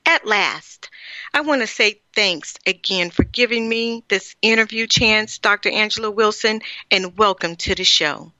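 Bright, effusive female radio host. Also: mid-range voice, a little slow, no room sound. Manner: effusive, gushing reactions. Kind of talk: radio interview, reading a scripted introduction. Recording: dull lo-fi, dry.

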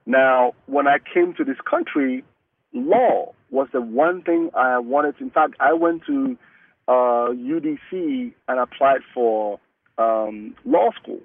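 A man's voice: medium pitch, sing-song, creaky, no echo. A man from Cameroon, whose first language is Mungaka, talking earnestly as an interview guest and often restarting his sentences. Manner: earnest, halting with many restarts. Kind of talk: casual interview talk. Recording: dry, tinny phone-like line.

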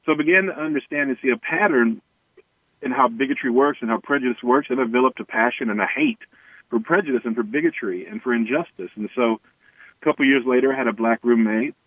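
An American man from Tennessee telling his personal story earnestly and haltingly as an interview guest. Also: mid-range voice, no echo. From Tennessee, United States. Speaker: interview guest, anti-racism activist. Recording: tinny band-limited phone-like line.

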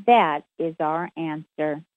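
A woman speaking a channeled message very slowly, in measured and formal phrases. Her voice comes over a tinny phone line with a dry, close sound. She keeps an even, deliberate delivery. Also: sits low, no room sound.

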